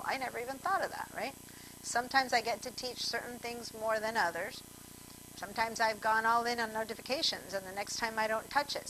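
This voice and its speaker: A woman's voice: fairly high pitch, sharp tone, slight background noise, low-quality recording. A woman from Argentina teaching a computer class over a video call, chatty and digressive, speaking straight to her students. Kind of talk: online class instruction.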